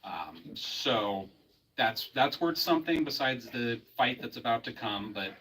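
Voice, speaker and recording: A man's voice: mid-range voice, animated, a little slow, a bit bright. A man giving an informal live presentation as a geology lecturer, with a joking, teasing manner. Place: live event at a historical society. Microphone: handheld microphone. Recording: distant mic, music clearly behind.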